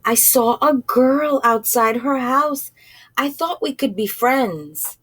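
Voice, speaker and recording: A woman reads a story aloud for children at a slow pace. She is informal and halting, speaking in short phrases with pauses and addressing the listeners directly. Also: high voice, animated, a bit bright, breathy edge.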